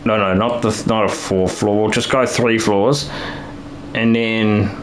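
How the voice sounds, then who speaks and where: Man, slightly low voice, going hoarse, rambling casual stream chat, faint hiss behind. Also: fairly even intonation, unhurried, rough recording. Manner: rambling, thinking aloud with many restarts and fillers. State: losing his voice. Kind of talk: casual live-stream talk while building.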